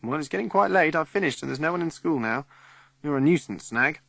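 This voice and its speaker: A man voicing a brisk no-nonsense caretaker woman. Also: on the high side, lively intonation, vocal fry, fairly bright tone, no echo, pitched above the performer's own voice. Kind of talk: audiobook character reading.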